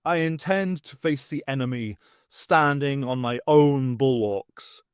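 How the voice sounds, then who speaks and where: A man's voice: mid-range voice, measured pace, clear, resonant tone, dry recording, clean studio sound, close to an everyday voice. A man voicing a solemn, pompous pantomime king in an audiobook, with a grand declamatory manner. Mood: solemn defiance.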